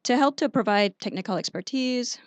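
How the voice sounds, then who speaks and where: A woman's voice: on the high side, a little fast, no echo. A woman explaining things politely as a guest in a radio interview. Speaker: guest, fishery specialist. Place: radio studio. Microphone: studio microphone.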